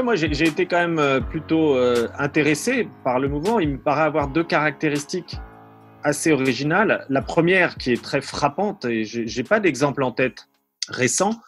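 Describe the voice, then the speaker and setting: Husky man, dry sound, thinking aloud. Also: fairly high pitch, no echo. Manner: hesitant, repeating words, tagging phrases with "hein". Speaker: academic historian, interview guest. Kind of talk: unscripted analytical commentary.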